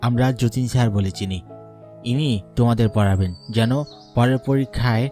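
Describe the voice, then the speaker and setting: A man's voice: medium pitch, moderate pace, mellow, lightly acted. A man playing a school peon in a Bengali cartoon, explaining and persuading like an uncle to students. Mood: persuasive. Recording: background music under the voice.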